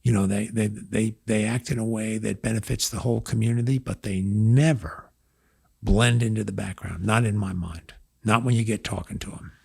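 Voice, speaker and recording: An older man, hoarse, telling stories in a relaxed podcast interview with many pauses and fillers. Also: on the low side, dry recording, studio quality. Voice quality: gravelly, husky. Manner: reflective storyteller, halting with fillers. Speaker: university president.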